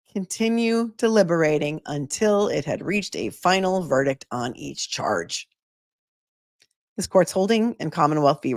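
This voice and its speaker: Hoarse woman, lowish voice, legal host reading a brief, dry close sound. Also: no room sound. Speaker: attorney and channel host. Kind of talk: reading a legal brief aloud, then casual chat.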